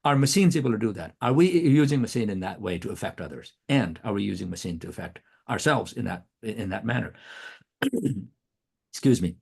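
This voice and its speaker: A middle-aged man whose first language is Nepali lectures earnestly, posing question after question. His pitch swings widely as he talks, and he stumbles and restarts words often. His throat is irritated by allergy. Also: lively intonation, moderate pace, creaky, darkish tone, breathy edge. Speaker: guest speaker, professor. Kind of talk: academic talk.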